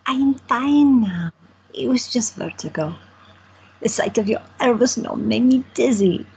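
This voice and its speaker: A woman's voice: on the high side, animated, unhurried, creaky, dark tone, breathy edge, highs cut off, heavily acted. An actress playing an elderly grandmother in an audio drama, with dry, affectionate teasing. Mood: fond. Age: older. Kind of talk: amateur audio drama acting.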